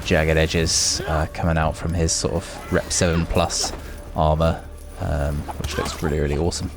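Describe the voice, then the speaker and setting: A man's voice: very deep voice, little pitch movement, normal speed, over music. A man hosting a gaming video, talking in a casual, chatty, rambling way as he gives his commentary. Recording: noisy with clear background noise.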